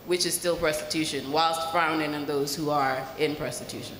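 A woman's voice: low-pitched, steady tone, moderate pace, a bit bright. A woman in the audience asking a panel a long, carefully phrased question into a handheld mic. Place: large festival tent with audience. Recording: echoey hall, faint room noise.

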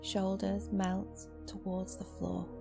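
A woman teaches yoga nidra in a gentle, unhurried voice with long pauses. Her soft delivery has a noticeable creak and stays very level in pitch. New-age music plays clearly behind her. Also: completely flat delivery. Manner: slow, soothing, gentle instruction with long pauses. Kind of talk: guided meditation.

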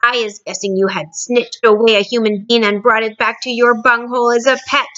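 A woman voicing a huge, bullying male giant from a storybook, shouting garbled, ungrammatical insults. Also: on the high side, level tone, a little fast, dull audio, close to an everyday voice. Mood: threatening.

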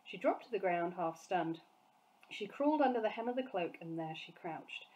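A woman teacher reading a children's storybook aloud, clear and expressive. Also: fairly low pitch, moderate pace, highs cut off.

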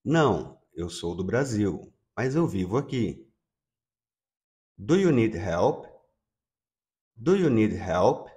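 A man from Brazil with a Brazilian accent, teaching English by repeating phrases slowly and translating them. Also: on the low side, very animated, warm tone. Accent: Brazilian Portuguese. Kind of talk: slow bilingual lesson, repeating phrases.

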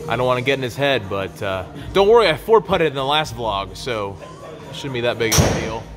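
A man's voice: medium pitch, expressive, a little fast, soft background music. A man in his thirties co-hosting a golf vlog, giving playful commentary with mock sportscaster asides in an indoor golf simulator bay.

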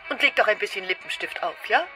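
A woman's voice: medium pitch, lively intonation, fast talker, pushed and bright, soft background music. An actress playing a chattering, nervous, needling middle-aged mother in a German radio play.

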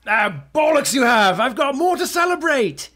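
This is a brash middle-aged British rock star in an audio comedy. His voice rides very high and jumps around animatedly. He is theatrical, sweary and full of himself. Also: very animated, normal speed, fairly bright tone, heavily acted. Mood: exuberant, boastful. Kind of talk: comedy audio drama acting.

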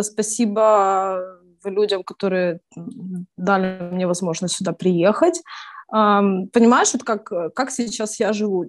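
Young woman, video-call interviewee, earnest and halting, middle pitch. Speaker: evacuated interviewee.

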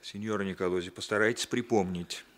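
A man acts out a composed police captain in a vintage Soviet radio play. His voice is low, and he questions in a measured, patient and insistent manner. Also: fairly even intonation, background noise, close to an everyday voice. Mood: probing. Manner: measured, probing. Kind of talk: Soviet 1960s radio drama acting.

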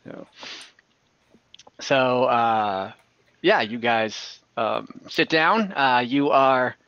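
A man narrating an improvised tabletop game as game master, in a hesitant, halting manner. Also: mid-range voice, a bit bright, clean tone, no echo. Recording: dull lo-fi call audio.

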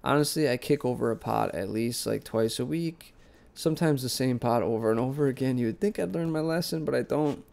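A man's voice: medium pitch, fairly quick, vocal fry. A young man with a congested, nasal cold voice, chatting casually as a vlog host about doing chores in his basement plant room. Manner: relaxed, rambling, conversational. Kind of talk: casual voice-over vlog narration.

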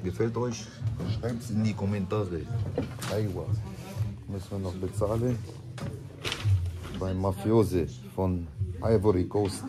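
A man's voice: low-pitched, fairly even intonation, slow, long pauses, over music. A man in his thirties vlogging casually to a handheld camera, chatty and joking with his viewers. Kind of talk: casual vlog narration to camera. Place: hair salon and city street. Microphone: handheld camera at arm's length.

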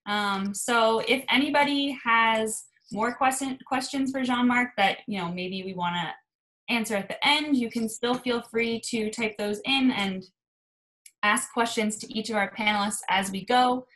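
A woman's voice: a little high, unhurried, a bit bright, low-quality recording. Young woman moderating a video panel. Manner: friendly, casual, with ums. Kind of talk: hosting an online panel. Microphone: laptop video call.

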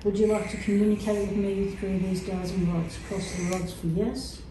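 A woman on a ghost hunt, calmly and methodically giving instructions to spirits through dowsing rods in a dark abandoned room. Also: medium pitch, noisy background. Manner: calm, instructive, measured. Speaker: paranormal investigator. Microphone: camera from across the room. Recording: echoey distant room sound.

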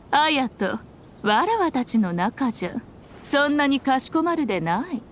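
A woman's voice: sits high, sing-song, takes it slowly, a little hiss or hum, heavily acted. A woman playing an elegant, teasing ancient fox shrine maiden in a Japanese anime game, with archaic, haughty, self-assured speech. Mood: playful, commanding.

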